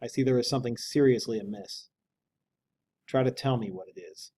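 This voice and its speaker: A man voicing a courteous, firm gentleman lawyer in a measured, questioning manner, as in an audiobook. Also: medium pitch, husky, natural voice. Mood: firm, coaxing.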